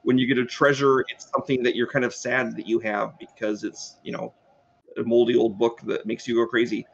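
A man's voice: mid-range voice, flat delivery, brisk, gravelly, highs cut off, a little hiss or hum. A man in his sixties, a game designer explaining his thinking in a panel discussion over a video call. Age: 60s. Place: book-lined room, video call.

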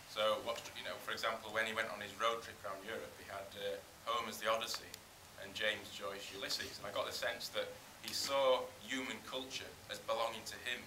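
A man's voice: lowish voice, steady tone, fairly quick, few pauses. A man in the audience asks a long question at a screening, hesitant and searching, with many fillers. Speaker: audience member asking a question. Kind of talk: question from the floor. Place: cinema screening room. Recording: echoey room with hiss.

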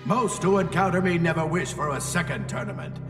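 An actor playing a towering horned demonic game master, a pompous, theatrical and menacing video game villain. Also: male voice, a little high, natural voice. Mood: grandiose. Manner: pompous, theatrical, drawn-out delivery. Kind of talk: video game cutscene acting. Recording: long reverb, distant, loud music behind.

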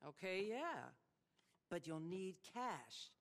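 Middle-aged government boss, female, stern, blunt, exasperated, lively intonation, video game. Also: on the low side, slow pace, heavily acted. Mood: brisk, firm. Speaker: government official. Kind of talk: video game cutscene acting.

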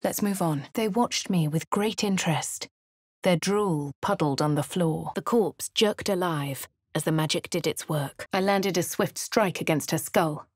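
A woman voicing a refined, posh young adventurer with a British accent, speaking crisp, clipped game lines and narration. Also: on the low side, unhurried, no echo, studio quality. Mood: composed. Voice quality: crisp, well-spoken. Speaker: player character.

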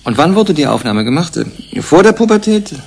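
An actor playing a matter-of-fact, probing inquiry member in a radio play, conversational in manner. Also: male voice, a little high, very animated, fairly quick, a little mellow, husky, full character voice.

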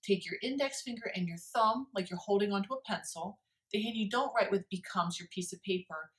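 A woman's voice: mid-range voice, fairly quick, fairly bright tone. A middle-aged woman teaching signs step by step, recorded in a living room. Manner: clear step-by-step instruction. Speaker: sign language teacher. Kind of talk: instructional demonstration. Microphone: camera a few feet away. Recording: distant microphone in living room.

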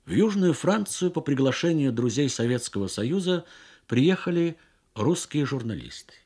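A man reading literary prose as a dramatic radio narration, in measured, deliberate phrases with pauses. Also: on the high side, sing-song, darkish tone, breathy edge. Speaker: reader of a short story on radio.

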